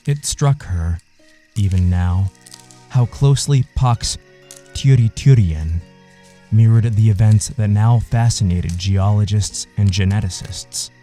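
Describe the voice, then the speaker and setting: A man narrating a literary audio story in a measured, solemn way. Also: on the low side, mellow.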